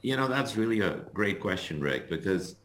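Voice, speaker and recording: A middle-aged man from India, a guest author and consultant, answering interview questions in a measured, explanatory way with many fillers. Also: lowish voice, expressive, fairly bright tone. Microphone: remote call recording.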